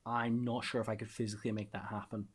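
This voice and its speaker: A man's voice: fairly low pitch, flat delivery, fast, husky. A man with a Scouse accent hosting a podcast in casual chat, rambling and self-deprecating with lots of fillers. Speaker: podcast host.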